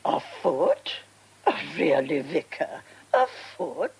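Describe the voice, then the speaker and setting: An actress playing a genteel, reclusive, self-pitying widow in a radio comedy drama, with an upper-class English accent and a fluttery, rambling manner. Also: female voice, on the low side, wildly swooping pitch, takes it slowly, vocal fry, a little mellow, slightly rough, heavily acted. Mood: vague surprise. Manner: fluttery, rambling, grandly vague.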